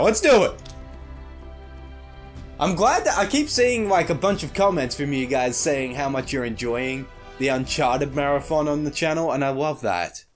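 Excitable gamer man, lively pitch. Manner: excitable, swears, shrieks at small things. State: slightly croaky morning voice. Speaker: gaming YouTuber. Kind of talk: casual let's play commentary.

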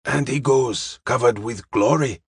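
A man's voice: medium pitch, measured pace, creaky, husky, dry recording, clean studio sound, full character voice. A man playing a courteous, wise Chinese detective in an audiobook, speaking formal, stilted English with dropped articles. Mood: calm, benevolent.

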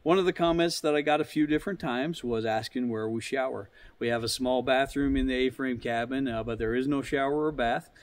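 A man's voice: medium pitch, a little fast, few pauses, no echo. A middle-aged man talking casually and plainly to viewers as an outdoor vlogger, explaining things in an easygoing way. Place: outdoors in snowy woods.

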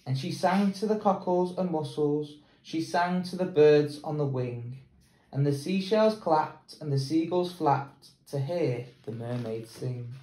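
Young English male teacher, slow storybook reading, roomy echo on the camera sound. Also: on the high side, warm tone. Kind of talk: picture book read-aloud. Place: plain indoor room.

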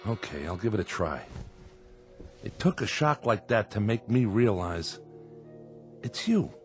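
A middle-aged husband caught out and growing frantic. His voice swings widely between mumbles and cries, with soft music below. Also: lowish voice, sing-song, unhurried. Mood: pleading. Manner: defensive, pleading, panicky. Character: guilt-ridden middle-aged husband Joe. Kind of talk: radio drama acting.

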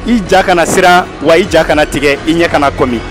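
A young man hosts a casual street vox pop. His voice sits fairly high, and he likes to repeat a phrase to drive it home. He is recorded outdoors on a small held mic, with music clearly playing behind him. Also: a little fast. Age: young adult. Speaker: street interview host. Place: outdoors in urban courtyards and streets. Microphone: small handheld lapel mic.

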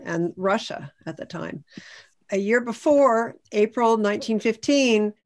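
A woman gives an explanatory history talk as a panelist on a video call. She phrases things deliberately and carefully, with a mid-pitched voice. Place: indoor room, on a video call. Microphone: video call microphone.

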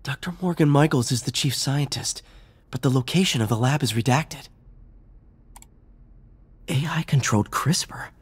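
A man's voice: medium pitch, normal speed, long pauses, husky. An actor playing a quippy, earnest young male superhero who talks to himself in wry asides.

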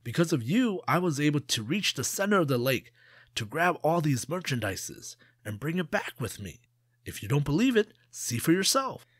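A man's voice: a little high, big pitch swings, normal speed, husky, dry recording, clean studio sound, pitched above the performer's own voice. A man voicing a sly, boastful young trickster in a storybook tale. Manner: wheedling, boastful.

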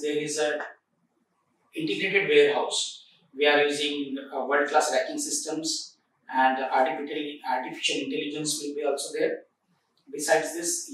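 A man in his forties, seated in an office, presenting a factory project in a formal way with many filler pauses. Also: fairly high pitch, fairly bright tone, slight background noise. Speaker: project coordinator. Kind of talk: corporate site tour presentation. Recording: echoey room, recorded from a distance.

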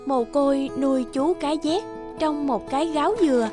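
A woman's voice: high voice, normal speed, music in the background. A woman reading scripted narration for a children's fairy tale.

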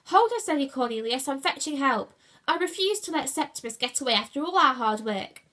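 A woman's voice: high voice, expressive, fairly quick, pitched above the performer's own voice. Woman acting a bossy, urgent storybook animal hero. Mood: determined, urgent. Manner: urgent, snapping, shouting orders. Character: bossy, exasperated male animal hero at sea. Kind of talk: children's storybook character voice.

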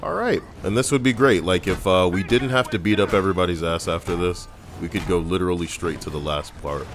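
A man's voice: low voice, a little fast, background noise. A man doing casual, profane gameplay commentary, muttering sardonic complaints over the game. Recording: game music behind the voice. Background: video game music.

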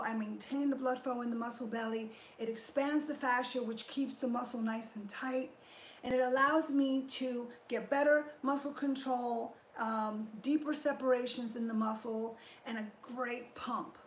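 High-voiced woman in an echoey garage. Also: fairly even intonation, a little slow, a little hiss or hum. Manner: upbeat, thanks viewers warmly, explains step by step. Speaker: fitness livestream host. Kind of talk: casual livestream chat answering viewers. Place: garage home gym, indoors. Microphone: fixed camera at a few feet.

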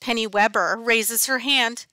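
A middle-aged woman teacher reading a picture book aloud in an expressive, dramatic, deliberate storytelling style, close on a headset mic. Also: a little high, a little hiss or hum. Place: small classroom, indoors.

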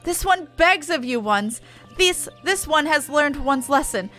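A woman's voice: sits high, animated, unhurried, pushed and bright, soft background music, exaggerated voice. A woman voicing a small, bumbling male forest creature from a fantasy game, begging whinily in odd third-person speech. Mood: pleading.

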